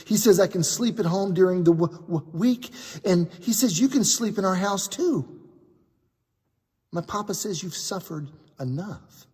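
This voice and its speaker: A man's voice: high-pitched, expressive, a little slow, darkish tone, breathy edge, voice pushed up, full character voice. A man voicing a timid young boy with a stutter who is finding his courage. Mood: gentle. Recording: long hall reverb.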